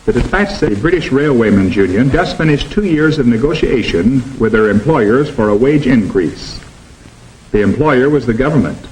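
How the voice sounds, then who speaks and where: A man narrating a 1950s documentary film in a measured, emphatic delivery. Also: medium pitch, expressive, darkish tone, slight background noise, poor audio.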